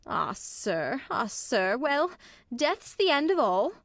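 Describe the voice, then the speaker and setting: A woman's voice: sits high, sing-song, takes it slowly, a bit bright, dry recording, clean studio sound, exaggerated voice. A woman playing a fussy, woeful nurse in a Shakespearean tragedy, with a wailing, melodramatic delivery. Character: fussy, woeful female nurse serving a young lady. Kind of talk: classical stage tragedy in audio.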